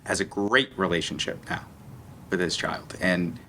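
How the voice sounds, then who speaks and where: Guy on a discussion panel, earnest, kinda low but bright voice, stops and repeats words. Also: moderate pace, a little hiss or hum.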